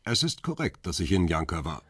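Detained middle-aged paparazzo, radio crime drama: lowish rough voice, formal, insistent, defiant. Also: male voice, sing-song, fairly quick, a bit bright, no echo, clean studio sound, heavily acted. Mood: firm, defiant. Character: defiant, controlled middle-aged male paparazzo under interrogation.

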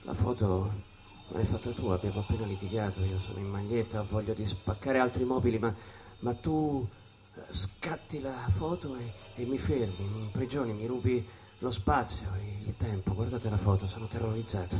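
An actor playing a weary, regretful jazz musician in a halting, impassioned theatrical monologue. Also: male voice, fairly low pitch, lightly acted. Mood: agitated, bitter. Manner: halting, repeats phrases, impassioned. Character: weary, regretful jazz musician recalling his lost women. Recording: loud music bed under the voice.

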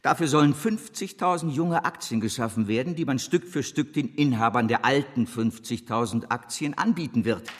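Casting: male actor for self-assured, impatient bank chief, husky, formal and emphatic, radio drama in a reverberant hall. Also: mid-range voice, normal speed, few pauses, lightly acted. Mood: formal, proud. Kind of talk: German radio drama acting.

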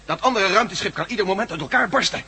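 A gloomy, sardonic companion. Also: male voice, high-pitched, lively intonation, rapid-fire, gravelly, bright, forceful tone, breathy edge, exaggerated voice. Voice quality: dry, pessimistic. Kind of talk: radio play acting.

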